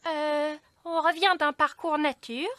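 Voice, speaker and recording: A woman voicing a polite, cheerful, unsuspecting schoolteacher in a children's cartoon, brisk and encouraging. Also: sits high, steady tone, fairly bright tone, no room sound. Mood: politely puzzled. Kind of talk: French-dubbed children's cartoon acting.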